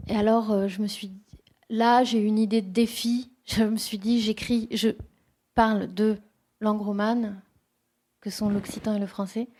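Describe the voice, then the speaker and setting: Woman poet with a warm, dark tone, reflective and halting at a bookshop talk. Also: medium pitch, flat delivery. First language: French. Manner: thoughtful, hesitant, many restarts. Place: bookshop event room.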